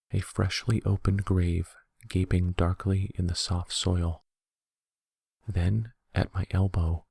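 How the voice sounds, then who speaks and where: A man reading a horror story in a soft-spoken ASMR style, slow, deliberate and hushed. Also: deep voice, gravelly, slightly rough, no echo.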